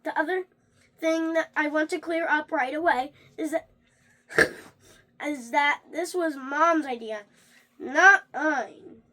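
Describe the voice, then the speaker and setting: Someone reading a children's book aloud in an animated way, stumbling over words and starting again. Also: high-pitched. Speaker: reader of a read-along.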